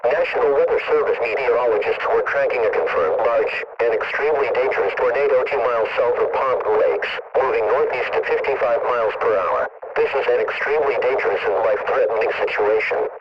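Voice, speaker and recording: A man's voice as an impersonal automated weather radio announcer, reading emergency bulletins in a flat, formal, methodical way. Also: medium pitch, fairly quick, close to an everyday voice. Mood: impersonal, urgent. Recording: muffled, very noisy distant radio broadcast.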